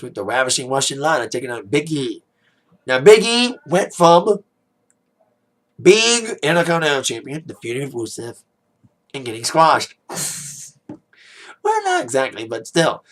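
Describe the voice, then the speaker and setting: A man with a raspy, worn-out voice giving a casual spoken review, rambling with plenty of "like" and "uh". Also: a little high, fairly bright tone. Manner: rambling, heavy on "like", "uh" and "you know". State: hoarse, voice fading after a DJ gig. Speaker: wrestling pay-per-view reviewer.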